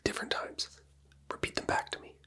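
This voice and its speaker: A person whispering gentle, patient clinical instructions in a close, quiet ASMR medical roleplay as a doctor. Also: brisk. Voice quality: whispered, mostly unvoiced. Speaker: ASMR creator playing a doctor.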